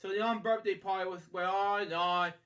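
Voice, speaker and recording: A man hosting a bedtime story read-aloud, halting and rambling as he drifts into casual asides. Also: sits high, fairly even intonation, fairly bright tone. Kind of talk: picture-book read-aloud with casual off-the-cuff commentary.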